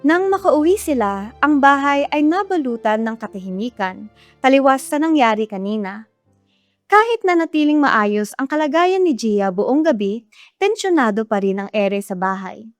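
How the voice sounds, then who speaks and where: Woman narrator, Filipino accent, high voice, scripted storytelling, dry close recording. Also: lively intonation, normal speed, no echo, soft background music.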